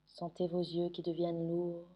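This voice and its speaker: A woman guiding a sleep meditation in French, giving soothing, gentle instructions with long pauses. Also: fairly low pitch, monotone, dull audio. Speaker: yoga nidra meditation guide.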